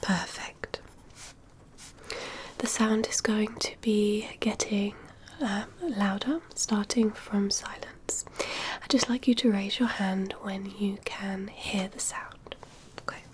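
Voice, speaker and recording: Slow, whispering ASMR woman as doctor. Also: mid-range voice, fairly even intonation, background noise. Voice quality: soft, breathy whisper. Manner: gentle, unhurried, reassuring, instructive. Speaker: ASMR performer role-playing a doctor giving a hearing test. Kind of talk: whispered ASMR medical exam roleplay.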